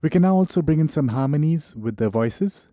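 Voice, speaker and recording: A man narrating a software tutorial in an informal, explanatory way. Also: on the high side, lively intonation, a little fast, slight background noise.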